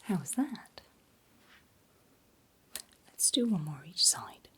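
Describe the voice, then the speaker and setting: Slow, whispering woman, gentle and reassuring. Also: lowish voice, very animated, a little hiss or hum. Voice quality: breathy whisper. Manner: gentle, reassuring, unhurried personal attention. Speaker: ASMR performer playing a reassuring doctor. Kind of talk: soft-spoken ASMR medical exam roleplay.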